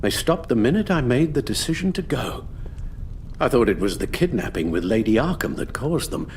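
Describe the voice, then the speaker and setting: An actor playing a weary, sorrowful elderly English butler in a video game, with a British accent and a formal, measured, emotive delivery. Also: male voice, mid-range voice, a little hiss or hum. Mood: resigned. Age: older. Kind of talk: dramatic video game voice acting.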